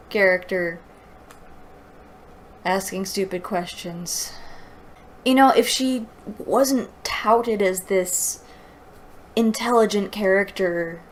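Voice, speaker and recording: A woman reads from a book and picks it apart as a reviewer. Her pace is unhurried and her tone is sarcastic and exasperated. A faint noise sits behind her voice in what sounds like a home recording. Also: medium pitch. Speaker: host of a book review show. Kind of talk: mocking read-aloud book review with commentary.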